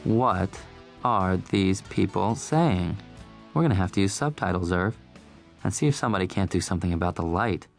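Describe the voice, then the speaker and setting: A man's voice: deep voice, lively intonation, normal speed, creaky, faint music behind. A man voicing an imperious middle-aged newswoman with a Southern accent, speaking in a haughty, insistent way.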